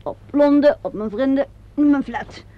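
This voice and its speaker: A woman's voice: high voice, unhurried. An actress playing a self-possessed, teasing woman of the theatre in a radio drama, bantering and flippant. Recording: dull lo-fi radio play recording.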